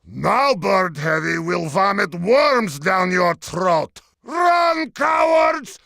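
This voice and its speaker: A boastful, menacing bird-man from a video game, bellows taunts in broken English with a Russian accent. The voice is high for a man and swings widely, with a gravelly, strained edge. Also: very animated, very slow, sharp tone, no room sound, raised voice, studio quality, heavily acted. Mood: menacing boasting. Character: boastful menacing brute with a tiny bird head. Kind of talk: exaggerated video game character acting.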